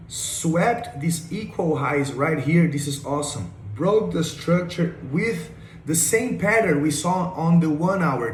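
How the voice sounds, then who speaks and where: Young Brazilian man, Portuguese native speaker, eager trading tutor, slightly high voice. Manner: enthusiastic, repeats phrases like "check this out". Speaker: day trader. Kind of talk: screen-share trade breakdown. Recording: echoey room with faint noise.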